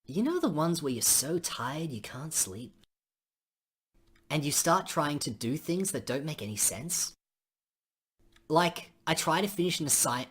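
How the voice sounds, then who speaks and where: A woman with a husky voice reading game dialogue aloud on a stream, with casual asides. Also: low voice.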